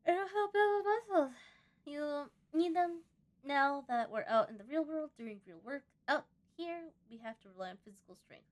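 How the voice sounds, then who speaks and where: A strong, brisk mother who farms on a space colony, read by a woman playing a visual novel. She is firm and motherly with her child. The pitch is fairly high and swings freely. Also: animated. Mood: firm, encouraging.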